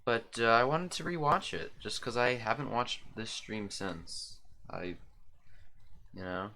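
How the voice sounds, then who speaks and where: A man's voice: mid-range voice, unhurried, sharp tone, slight background noise. A young man casually rambling as he rewatches and comments on an old stream of his. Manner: rambling, self-deprecating, chuckling often.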